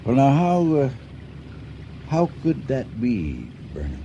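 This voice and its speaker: Very mellow, wary old farmer. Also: male voice, medium pitch, wildly swooping pitch, takes it slowly, highs cut off, slight background noise. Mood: wary, suspicious. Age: older. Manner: hesitant, understated, suspicious. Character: wary, polite older farmer husband at sea. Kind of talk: radio drama acting.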